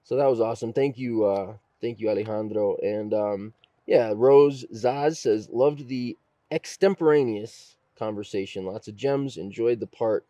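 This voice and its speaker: A man with a fairly low voice hosts a casual podcast. He tells stories conversationally and voices other people's remarks as he goes. The sound is close and dry. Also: unhurried, warm tone, no room sound, highs cut off. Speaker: podcast host.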